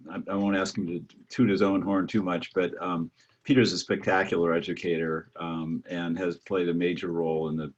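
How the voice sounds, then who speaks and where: Older male host, low raspy voice, halting, filler-heavy video-call moderation. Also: highs cut off. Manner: halting, many filler sounds and restarts. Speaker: host, physician moderating a webinar. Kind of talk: conversational webinar moderation.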